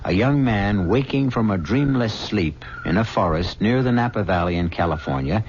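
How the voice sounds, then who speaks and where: An older man hosting and narrating a 1970s radio drama in a measured, grave, theatrical way. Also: fairly low pitch, lively intonation, darkish tone, slight background noise. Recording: dull old radio recording with music.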